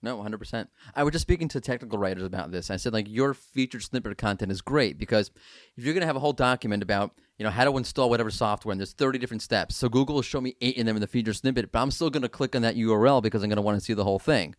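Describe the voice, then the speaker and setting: A man hosting a podcast, talking casually and emphatically in quick bursts. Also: lowish voice, no room sound, studio quality. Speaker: podcast host.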